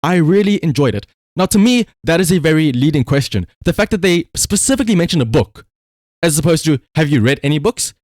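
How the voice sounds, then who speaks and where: A man's voice: a little high, lively intonation, a little fast, darkish tone, dry recording, studio quality. A man giving casual livestream commentary in a rambling, conversational, emphatic way, as the host talking to viewers.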